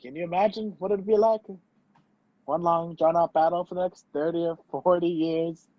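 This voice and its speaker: A man playing a determined, wrongly accused gentleman on the run in an amateur radio play, over a video call. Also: a little high, steady tone, normal speed, no room sound, lightly acted. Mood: wry. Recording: dull, lo-fi video-call audio.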